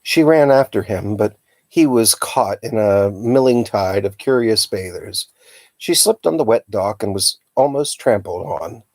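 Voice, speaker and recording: A man reading a children's novel aloud as a storyteller, with a dramatic, expressive delivery. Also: fairly low pitch, unhurried, dry recording. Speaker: storytime reader.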